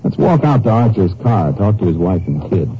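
A low-pitched lawman, terse and matter-of-fact, questioning and reasoning with brisk authority. It is 1950s radio detective acting on a dull, slightly hissy old recording. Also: sing-song. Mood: brisk, probing. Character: brisk, observant Texas Ranger investigator.

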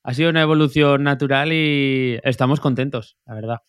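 A man's voice: medium pitch, steady tone, a little fast, smooth, no echo, studio quality. A man co-hosting a casual podcast interview, friendly and loose, with lots of fillers and restarts. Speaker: podcast co-host.

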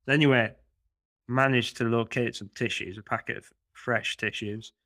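A man's voice: medium pitch, fairly even intonation, moderate pace, a bit bright, dry recording, clean studio sound. A man co-hosting a podcast, telling a long rambling story in a casual chat. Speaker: podcast co-host.

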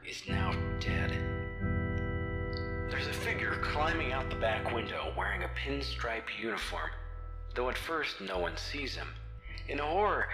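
A man's voice: mid-range voice, sharp tone. A man narrating a scripted horror comedy audio drama. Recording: roomy amateur recording. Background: music.

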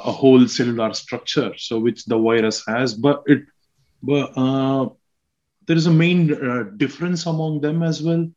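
A man from South India with a South Indian accent, a guest scientist explaining slowly with many fillers and restarts. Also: mid-range voice, a little mellow, highs cut off. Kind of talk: explaining science in a casual chat.

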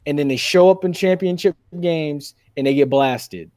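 A man hosting a sports podcast in casual, animated debate, making his points emphatically and repeating phrases for effect. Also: a little high, normal speed, no echo, clean studio sound.

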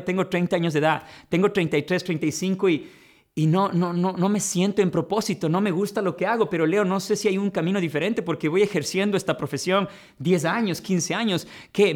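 A man hosting a conversation, reflective and coaching in tone, often saying "mira" and calling his guest brother. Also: high-pitched. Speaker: podcast host and life coach. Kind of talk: conversational interview.

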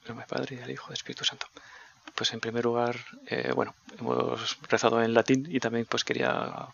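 A man hosting a live radio talk show, speaking in an unhurried, hesitant way. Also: medium pitch, flat delivery, vocal fry, fairly bright tone, dry recording. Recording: dull lo-fi sound.

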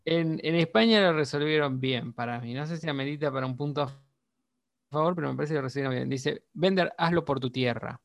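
A man's voice: on the high side, a little fast, creaky, dry recording. An Argentine man, chatting casually and agreeing often. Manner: agreeable, lots of "claro" and "sí". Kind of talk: casual chat.

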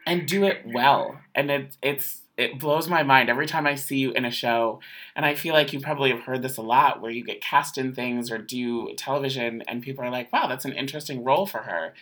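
Bright-voiced, gushing woman host. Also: rumbling low, fairly quick. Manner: gushing, excitable fan. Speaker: podcast host. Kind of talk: casual interview.

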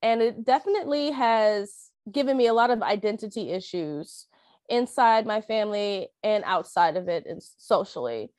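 Soft-spoken woman with dry close sound. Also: medium pitch, lively intonation, normal speed, dry recording. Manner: hesitant, many fillers and restarts. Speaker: interviewee. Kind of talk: reflective personal account.

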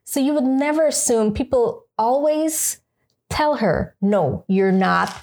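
A woman's voice: on the high side, lively intonation, slow pace, a little mellow. A woman giving her opinions conversationally in a group discussion, emphatic and quoting imagined exchanges. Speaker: panel discussion participant.

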